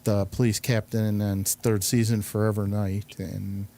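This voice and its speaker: A man's voice: fairly low pitch, level tone, normal speed, dark tone. A man hosting a casual round-table chat about films and TV, giving his opinions as he leads the discussion. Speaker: show host.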